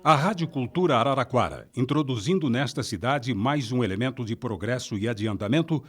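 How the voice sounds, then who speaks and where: Brazilian man reading formally, mid-pitched. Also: expressive, brisk, fairly bright tone, breathy edge. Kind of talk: formal reading of an old letter.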